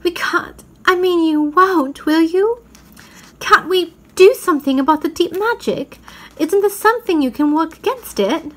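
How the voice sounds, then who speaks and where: A woman voicing an anxious, gentle girl in a storybook reading, hesitant and pleading, partly in a whisper. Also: very high-pitched, moderate pace, voice pushed up.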